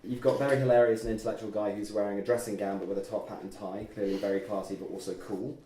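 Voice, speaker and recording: A man as a quick, wry, mellow student. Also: on the low side, steady tone, natural voice. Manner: dry, hesitant banter. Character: wry, awkward young male student, dry joker. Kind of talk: informal script table read. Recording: echoey room, distant mic, faint noise.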